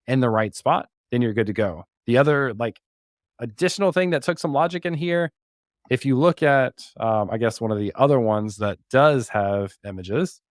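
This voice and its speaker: A man's voice: mid-range voice, no echo. Casual man demoing tech, dry close sound. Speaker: podcast host and developer giving a demo as guest. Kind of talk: casual live technical demo walkthrough.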